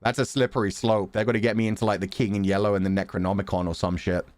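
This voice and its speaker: A man's voice: fairly low pitch, little pitch movement, fast talker, darkish tone, dry recording. A man giving casual, slangy, joking live commentary while streaming a game.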